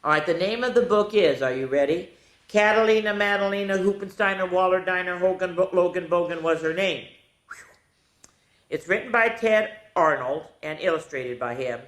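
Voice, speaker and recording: A woman's voice: on the low side, normal speed. In a roomy, echoing space, an older woman hosts a children's story time. She speaks in a playful, folksy way, breaking from the book for chatty asides to the kids. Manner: playful, folksy, addresses the young listeners directly. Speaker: storytime host reading picture books to children.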